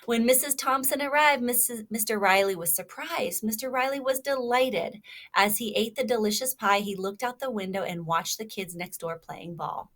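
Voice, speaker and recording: A woman's voice: on the high side, moderate pace, a bit bright. A woman reading a children's story aloud expressively, stopping to prompt her young listeners with questions. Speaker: storybook reader.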